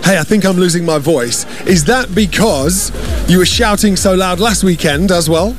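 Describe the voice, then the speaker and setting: A playful man hosts a lively studio chat, his voice sitting high for a man. He keeps prompting with quick teasing questions. Music plays clearly behind him. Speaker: festival radio studio host. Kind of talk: upbeat interview chat. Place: festival broadcast studio.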